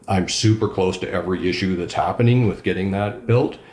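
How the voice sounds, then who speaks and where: Man, low pitch, emphatic, passionate, roomy echo. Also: a little fast. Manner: enthusiastic, emphatic, self-described loud voice. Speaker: regional councillor. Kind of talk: conversational interview answers.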